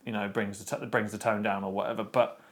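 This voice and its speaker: A man, co-hosting a casual podcast chat about books, opinionated and quick with restarts. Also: lowish voice, little pitch movement. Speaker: podcast co-host.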